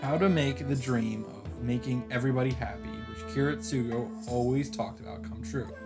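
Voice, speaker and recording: A man's voice: mid-range voice, moderate pace. Man reading a visual novel over music. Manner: expressive reading, occasional casual asides. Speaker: let's play host. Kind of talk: dramatic read-aloud narration with asides. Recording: music under the voice.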